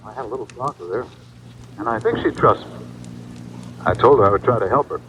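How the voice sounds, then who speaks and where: An actor playing an earnest, matter-of-fact detective making a report in a 1970s radio mystery. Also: male voice, mid-range voice, fairly quick, a bit bright, natural voice, poor audio. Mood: businesslike. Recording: vintage radio broadcast, faint noise.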